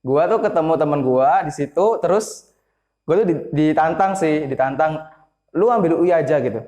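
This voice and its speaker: Young male student from East Java, easygoing and slangy, slightly high pitch. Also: expressive, moderate pace, a little mellow, reverberant. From Lamongan, East Java. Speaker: university student interviewee. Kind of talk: casual slangy chat.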